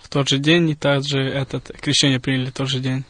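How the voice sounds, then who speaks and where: Halting young man in a dry studio. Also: medium pitch, flat delivery, fairly bright tone, no echo. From Moldova, later United States. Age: 20s. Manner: halting, hesitant delivery. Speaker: radio guest, college student. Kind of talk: casual interview answers. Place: radio studio.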